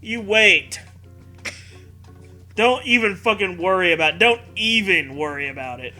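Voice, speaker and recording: A man with a very high-pitched, piercingly bright voice reads a silly story aloud and riffs on it. His delivery is profane and mock-dramatic, swinging widely in pitch, with music behind him. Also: lively intonation, measured pace. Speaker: co-host reading fan fiction aloud. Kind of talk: comedic read-aloud with riffing.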